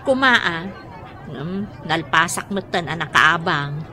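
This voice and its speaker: A woman's voice: on the low side, animated, slow, a crowd in the background, background noise. An actress playing a vain, boastful young mother in an Ilocano radio drama. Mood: evasive. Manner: boastful, haughty.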